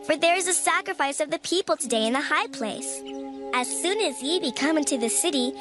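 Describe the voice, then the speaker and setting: An actress playing a helpful, earnest young maiden giving urgent directions in scripture drama. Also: female voice, high voice, lively intonation, a little fast. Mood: eager urging. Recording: music behind the voice.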